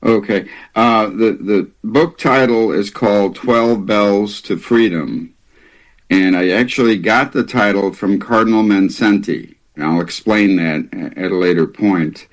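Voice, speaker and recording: A man in his seventies, a guest author telling his story in an interview, hesitant with many pauses and fillers. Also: fairly low pitch, rough recording. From Hungary, raised in the US Midwest. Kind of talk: conversational interview.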